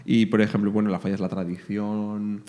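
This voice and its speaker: A man hosting a podcast in casual chat, playful and teasing, quick to joke with his guest. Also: lowish voice, level tone, warm tone. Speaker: podcast host.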